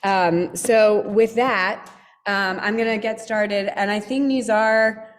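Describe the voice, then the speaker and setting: A woman's voice: medium pitch, fairly even intonation, takes it slowly, in a large hall. A woman moderating a panel event, explaining the program in a friendly way with some fillers. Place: event hall.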